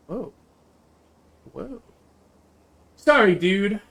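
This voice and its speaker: Bright-voiced man streaming a game, fairly high. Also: animated, extremely slow, creaky. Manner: jokey, slangy, swears casually. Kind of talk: casual livestream commentary mixed with reading visual novel text aloud.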